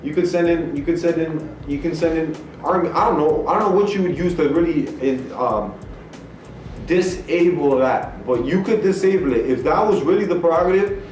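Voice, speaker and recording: A man's voice: fairly high pitch, normal speed, a little mellow. Dude on a podcast, keeps restarting his sentences, slangy, roomy sound, music going. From Canada. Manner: restarts phrases, thinks aloud, casual slang. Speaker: podcast co-host. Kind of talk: heated casual debate. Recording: echoey room, mic far off, music behind.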